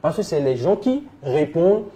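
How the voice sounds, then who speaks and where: A man with a West African French accent, answering conversationally as an interview guest, telling his experience in an animated way. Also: on the high side, normal speed. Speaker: interview guest, entrepreneur and coach.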